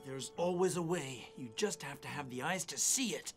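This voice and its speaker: A man's voice: a little high, moderate pace, a bit bright, soft background music, close to an everyday voice. A man voicing a determined, earnest young hero prince in a cartoon adventure. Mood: resolute. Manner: earnest, resolute.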